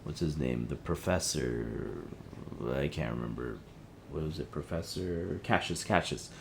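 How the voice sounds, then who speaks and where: A man playing an agitated, conspiracy-minded husband in an audio drama, rambling, halting and searching for words. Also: a bass voice, lively intonation, creaky, noisy background, exaggerated voice. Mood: hesitant, wry. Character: agitated conspiracy-minded husband piecing together a dystopian plot. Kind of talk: audio drama, conversational dialogue.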